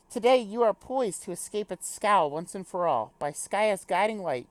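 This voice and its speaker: A woman's voice: medium pitch, darkish tone, no echo, close to an everyday voice, poor audio. Woman acting a solemn, formal young female mentor. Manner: grandiose, formal, ceremonious.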